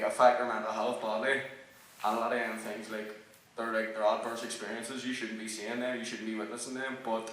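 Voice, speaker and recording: A man's voice: lowish voice, fairly bright tone, far from the mic, slight background noise. A young man with a Belfast accent whose first language is Irish, a rapper speaking earnestly and reflectively on a panel. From West Belfast. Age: young adult. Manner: earnest, reflective, many fillers. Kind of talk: casual panel talk. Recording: echoey hall.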